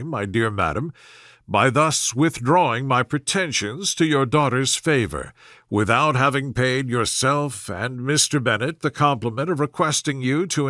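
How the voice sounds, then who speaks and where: A man voicing a pompous young gentleman suitor who speaks with stately, wounded formality and long-winded displeasure, as in a period storybook. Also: medium pitch, no room sound, clean studio sound.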